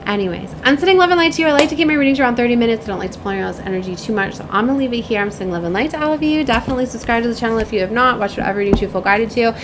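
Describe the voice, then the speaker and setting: Woman, slightly high voice, casual spiritual reader, effusive, rambling, frequent tag questions, faint background noise. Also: lively intonation, very fast, few pauses. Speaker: spiritual reader and self-described shaman. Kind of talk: casual informal spiritual talk to viewers.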